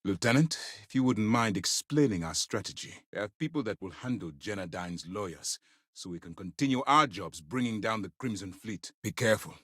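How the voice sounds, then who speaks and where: A man playing a stern, principled space navy commander in a video game, speaking in a formal, measured, authoritative way. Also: lowish voice, lively intonation, fairly bright tone, slightly rough, dry recording, studio quality. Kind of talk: scripted game dialogue.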